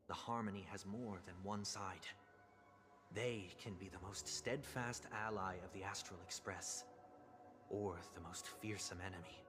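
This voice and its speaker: A man playing a soft-spoken, formal and solemn young gentleman in a video game, speaking in a measured way. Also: fairly low pitch, natural voice. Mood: grave, earnest. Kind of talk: dramatic video game dialogue acting.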